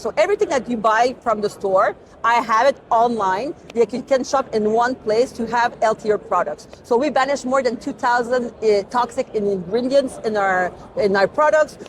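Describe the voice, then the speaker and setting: A woman whose first language is Portuguese, pitching her business at an expo in an earnest way, searching for words and repeating her phrases. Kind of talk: casual sales pitch interview. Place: busy expo hall. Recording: noisy crowded expo hall. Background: crowd chatter.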